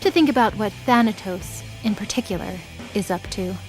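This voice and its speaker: A woman's voice: medium pitch, brisk, soft background music, rough recording. A woman reading scripted, dramatic third-person narration for a mythology audio drama.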